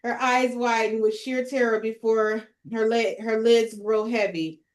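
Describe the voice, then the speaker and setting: A woman's voice: fairly high pitch, steady tone, a little slow. A woman narrating screenplay stage directions as she leads a table read.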